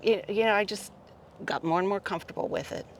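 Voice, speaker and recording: A woman from Ohio with an American accent, giving halting, reflective answers in a casual interview. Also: mid-range voice, a little hiss or hum. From Ohio, United States. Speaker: interviewee, solo pilgrim.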